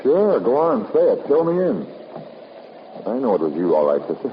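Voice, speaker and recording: An actor with an American accent playing a tough, sardonic investigator in a 1950s radio drama, clipped and accusing. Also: male voice, mid-range voice, wildly swooping pitch, moderate pace. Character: tough, wisecracking male private investigator. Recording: noisy, muffled 1950s radio recording.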